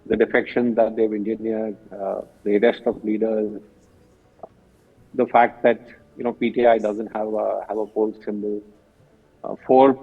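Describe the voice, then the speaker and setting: A distant, phone-like line carries an older Indian-accented man with a lowish, husky voice. He comments as a guest analyst, halting often as he goes. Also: level tone. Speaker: retired general, guest analyst. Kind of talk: interview commentary. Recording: muffled phone-like remote call, distant.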